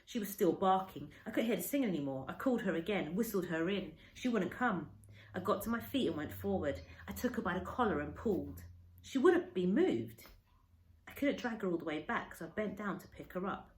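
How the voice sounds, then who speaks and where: Woman, lowish voice, expressive storytelling, teacher reading aloud, roomy echoey recording. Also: brisk. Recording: roomy, echoey indoor sound.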